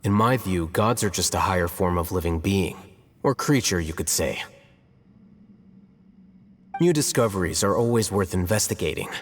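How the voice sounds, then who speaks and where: Deep-voiced, aloof game scholar. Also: male voice, moderate pace, long pauses, slight background noise. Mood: detached, dry. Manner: calm, dry, cerebral. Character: composed, aloof, intellectual young male scholar. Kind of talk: video game character acting. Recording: long reverb, faint music behind.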